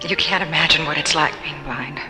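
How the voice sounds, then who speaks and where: Woman as a soft, vulnerable space traveler. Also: sits low, fairly quick, over music, lightly acted. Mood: vulnerable, moved. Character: earnest, vulnerable young woman, newly blinded space traveler. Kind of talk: old-time radio science fiction acting.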